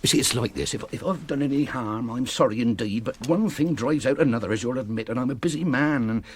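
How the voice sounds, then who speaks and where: An English actor playing a flustered, apologetic fat innkeeper in a fantasy radio drama, bumbling and rambling with a rustic turn of speech. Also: male voice, medium pitch, quick pace, husky, lightly acted. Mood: flustered, hesitant. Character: flustered, apologetic, forgetful fat innkeeper, loyal and well-meaning.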